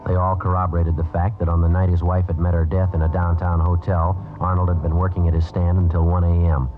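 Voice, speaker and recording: Low, brisk deadpan detective played by an actor. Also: male voice, over music, lightly acted. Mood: deadpan, factual. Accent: American. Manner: deadpan, businesslike. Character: male police detective, clipped, matter-of-fact, methodical narrator. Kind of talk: 1950s radio crime drama, terse narration and questioning. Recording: tinny old radio recording.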